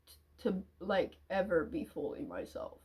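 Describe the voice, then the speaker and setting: Woman, lowish pitch, hesitant, emotional, restarts, sighs, confessional monologue, drops to quiet mumbling. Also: unhurried, husky. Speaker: YouTuber.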